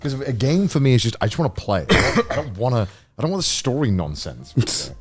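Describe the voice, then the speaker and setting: Animated man bantering on a podcast. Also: mid-range voice, a little fast, slightly rough, soft background music. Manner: animated, blunt, swearing. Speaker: podcast co-host; voice actor. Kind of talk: casual banter.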